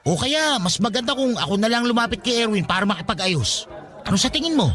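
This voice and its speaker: Man acting a high, bright-voiced lovesick young guy. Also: lively intonation, fast, soft background music. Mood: pleading. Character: lovesick, jealous young male fast-food worker, anxious and stubborn. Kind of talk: Tagalog radio drama acting.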